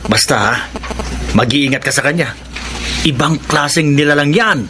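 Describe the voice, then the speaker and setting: A man's voice: a little high, moderate pace, background noise, lightly acted. An actor playing a concerned male construction worker who warns his friend emphatically in a Filipino radio drama. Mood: cautioning.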